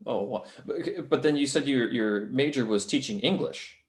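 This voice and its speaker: A man's voice: medium pitch, moderate pace. A man hosting a podcast, chatting casually with a guest and adding joking asides. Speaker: podcast host. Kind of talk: casual interview chat.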